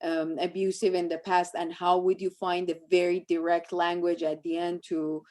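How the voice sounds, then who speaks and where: A woman's voice: fairly low pitch, little pitch movement, moderate pace, darkish tone. A woman from Iran, a native Persian speaker, talking reflectively and conversationally about her work as a guest speaker, searching for her phrasing as she goes.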